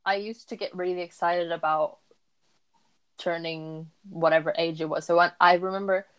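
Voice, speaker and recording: A woman in her twenties chatting casually, an animated storyteller who laughs often. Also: fairly low pitch, normal speed, smooth, low-quality recording. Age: 20s. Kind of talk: casual chat.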